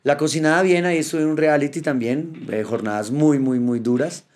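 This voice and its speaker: A man, an actor and rapper chatting as a podcast guest, speaking in a lively, joking way on a studio microphone. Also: fairly high pitch, fairly quick. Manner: playful, animated, joking. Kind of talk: casual podcast chat. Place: indoor studio with yellow backdrop. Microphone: studio mic on boom arm.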